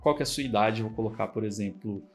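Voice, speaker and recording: A man's voice: fairly low pitch, quick pace, faint music behind. A man with a Brazilian Portuguese accent, a programming instructor walking through code in a casual, chatty screen-recorded tutorial and often checking in with the viewer. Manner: casual, chatty explaining with frequent check-ins.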